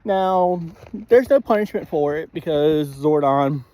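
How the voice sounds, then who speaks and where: A man giving a casual spoken episode review in a halting way, often clearing his throat. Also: a little high, expressive, dark tone.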